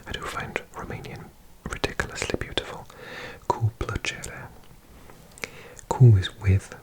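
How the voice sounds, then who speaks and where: Language tutor for ASMR: hushed breathy whisper, very unhurried delivery, gentle repetition of vocabulary. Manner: softly repeating words, unhurried. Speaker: language teacher.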